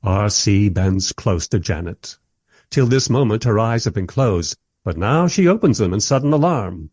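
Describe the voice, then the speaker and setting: Man as a wry, animated, husky storyteller. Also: lowish voice, normal speed, dry recording, clean studio sound. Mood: wry, observant. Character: wry modern male filmmaker telling his story. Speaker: narrator. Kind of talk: scripted radio play narration.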